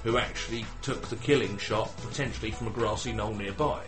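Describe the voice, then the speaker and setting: A man's voice: lowish voice, steady tone, a little fast, music in the background. A man with an Essex accent co-hosting a podcast, explaining things in a dry, sweary way. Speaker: podcast co-host. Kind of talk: casual banter.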